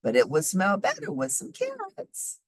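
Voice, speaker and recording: A woman's voice: sits high, wildly swooping pitch, moderate pace, vocal fry, no room sound, clean studio sound, pitched above the performer's own voice, full character voice. A woman voicing a hungry, polite and wily young man in a storybook, coaxing and assured.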